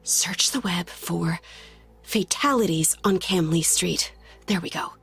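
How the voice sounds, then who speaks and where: A woman acting a headstrong, restless blind high school girl in an audio drama, talking casually and naturally. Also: slow, gravelly, fairly bright tone, slightly rough, soft background music, full character voice. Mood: restless, frustrated. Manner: casual, conversational.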